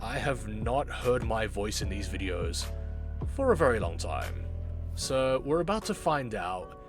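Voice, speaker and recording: Slow-talking man with lively, swooping pitch. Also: over music. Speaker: video host, amateur voice actor. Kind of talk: casual reaction commentary.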